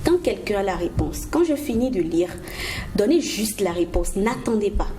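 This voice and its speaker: A woman hosting a TV talk show, running a riddle game with guests in a studio, lively and laughing, reading riddles slowly. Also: medium pitch, background noise. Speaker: TV show host. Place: TV studio set.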